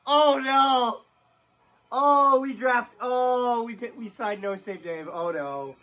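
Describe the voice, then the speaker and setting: A man's voice: very high-pitched, slow pace, bright, forceful tone. A man giving casual, off-the-cuff spoken reactions.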